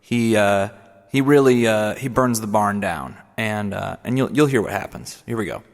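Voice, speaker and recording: A man's voice: on the low side, normal speed, vocal fry, in a large hall. A man narrating a comedic radio play in a hesitant, filler-heavy, dry manner. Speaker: narrator and host.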